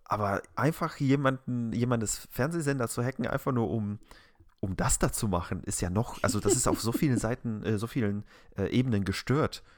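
A man co-hosting a casual podcast, talking in a rambling, halting and incredulous way. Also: medium pitch, no room sound. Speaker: podcast co-host.

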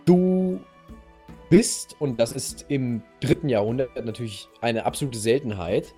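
A man hosting a podcast, telling a history story casually and playfully in a halting, slightly husky voice. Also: medium pitch, mellow, soft background music. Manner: halting, playful storyteller addressing co-host directly. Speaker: podcast host.

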